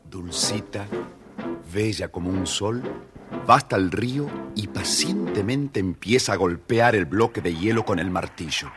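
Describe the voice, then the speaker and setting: A man's voice: sits low, lively intonation, unhurried, loud music behind the voice. A man narrating a children's story dramatically, addressing his young listeners directly.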